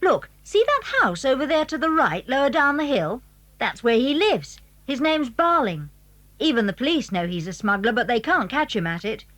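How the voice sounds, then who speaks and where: A woman's voice: high voice, very animated, moderate pace, a bit bright. A woman playing a clever, secretive British schoolboy in a children's audio drama, eager and well-spoken as he explains things. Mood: confiding. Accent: British English. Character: clever, secretive schoolboy showing friends his house.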